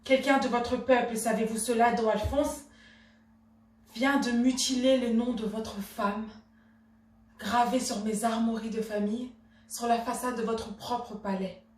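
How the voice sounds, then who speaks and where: Casting: woman as proud, wronged Renaissance duchess, higher-pitched, imperious stage declamation, echoey room. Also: fairly even intonation, a little slow, darkish tone, lightly acted. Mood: indignant. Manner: imperious, declamatory, formal address. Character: proud, outraged Renaissance noblewoman, an insulted duchess wife. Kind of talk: theatrical classical stage monologue. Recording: echoey room, distant microphone.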